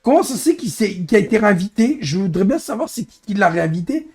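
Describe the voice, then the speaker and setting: A hoarse man who is losing his voice, grumbling in exasperation as he comments live on his racing game stream. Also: high voice, big pitch swings, quick pace, darkish tone. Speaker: streamer and racing league head.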